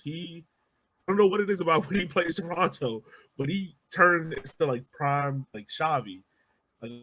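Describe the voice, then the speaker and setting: A man hosting a casual soccer fan podcast, talking in an animated, playful, slangy way. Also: medium pitch, low-quality recording. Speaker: sports podcast host.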